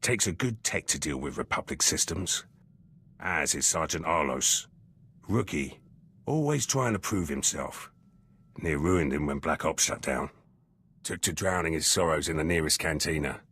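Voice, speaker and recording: Gruff, husky, deep-voiced soldier. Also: male voice, lively intonation, normal speed, a bit bright, exaggerated voice. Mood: cynical, pressing. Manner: terse, clipped phrases that drop words. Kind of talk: video game character dialogue.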